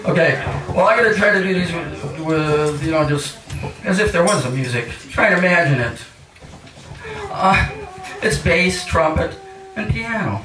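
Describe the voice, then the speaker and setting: An older man reading poetry live and chatting between pieces with wry, mock-highbrow humour. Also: lively intonation, unhurried, music in the background. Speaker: featured poet at a reading. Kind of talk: live poetry reading with casual rambling introductions. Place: indoor cafe poetry reading. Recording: echoey room, distant mic, hissy noise.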